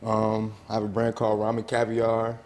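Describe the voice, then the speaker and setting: A man's voice: fairly low pitch, completely flat delivery, normal speed, darkish tone. A man from New Jersey, a brand owner and stylist, talking casually and hesitantly, with many fillers. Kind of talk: casual interview chat. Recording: long reverb in the room.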